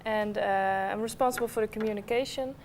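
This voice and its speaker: A woman's voice: medium pitch, fairly even intonation, fairly quick. Twenties woman, hesitant studio guest, faint noise behind. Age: 20s. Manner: hesitant, with fillers. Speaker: festival board member guest. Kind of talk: studio interview. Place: small studio set.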